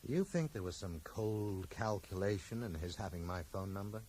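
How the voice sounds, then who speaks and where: An actor playing a courteous, probing bookseller who questions people in a measured, polite way in a 1980s radio drama. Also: male voice, sits low, lively intonation, darkish tone. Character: probing, polite bookseller investigating a stranger's death. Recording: slightly noisy old radio broadcast recording.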